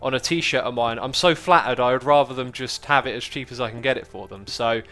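A man's voice: mid-range voice, fairly quick, fairly bright tone. A man with a British accent, chatting casually as a livestreamer in a friendly, rambling, anecdotal way.

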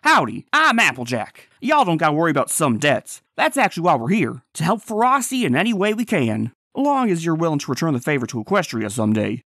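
A woman's voice: deep voice, big pitch swings, fast talker, hardly any pauses, a bit bright, dry recording, clean studio sound, exaggerated voice. A woman voicing a helpful, folksy cowgirl pony with an American Southern accent in a cartoon dub. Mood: friendly. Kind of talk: comic dub cartoon acting. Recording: music behind the voice.

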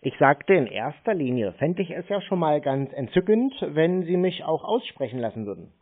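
A man's voice: a little high, sing-song, moderate pace, husky. A man in a casual phone conversation, dryly and persistently questioning the caller with scepticism. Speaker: prank caller questioning a scammer. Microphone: telephone. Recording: tinny band-limited phone line.

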